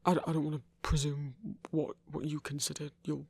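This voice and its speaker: A performer playing a gentle, awkward male werewolf hunter in an intimate audio roleplay, speaking haltingly and apologetically with earnest remorse. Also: mid-range voice, flat delivery, creaky, husky, no echo. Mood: remorseful. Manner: hesitant, halting, apologetic, stammering.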